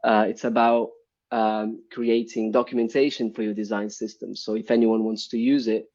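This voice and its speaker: Mellow low-voiced man on a lo-fi call. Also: flat delivery, normal speed. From Brazil. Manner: hesitant, many ums and restarts. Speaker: guest, UI developer. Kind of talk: casual live-stream interview. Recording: dull lo-fi stream audio.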